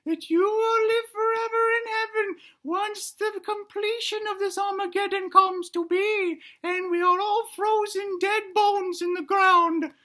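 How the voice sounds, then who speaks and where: Casting: woman to play very high-pitched baby boy dinosaur, earnest and grandiose, bright comic cartoon delivery. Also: fairly even intonation, unhurried, hardly any pauses, heavily acted. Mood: grand, solemn. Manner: grandiose, theatrical, old-fashioned phrasing. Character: frightened, earnest little boy dinosaur, pompously self-sacrificing.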